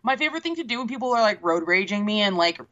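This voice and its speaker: Woman, sarcastic profane banter, bright voice, dull lo-fi recording. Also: medium pitch, lively intonation, very fast. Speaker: podcast co-host. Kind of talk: casual chat. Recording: dull lo-fi sound.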